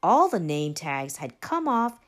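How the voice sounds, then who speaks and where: A woman reading a children's storybook aloud in an expressive, careful way. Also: low voice, a little slow. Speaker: children's storybook reader, channel regular. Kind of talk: expressive read-aloud narration for young children.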